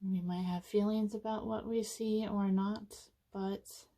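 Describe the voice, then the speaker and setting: A woman leading a guided meditation in an informal, conversational way, with soothing, casual phrasing and frequent pauses. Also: medium pitch, fairly even intonation, dark tone.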